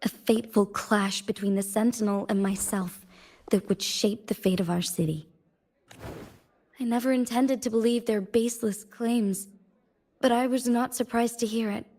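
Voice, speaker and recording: A woman's voice: on the high side, steady tone, moderate pace, darkish tone. An actress playing a dutiful young female city magistrate in a video game, speaking formally with composed resolve. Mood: grave, reflective. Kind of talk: scripted video game dialogue.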